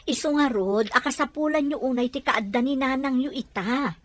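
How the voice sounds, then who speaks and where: A measured, lowered voice from an actress as a concerned older aunt in an audio drama. She advises with an Ilocano accent, in a dry recording. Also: no room sound. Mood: advising.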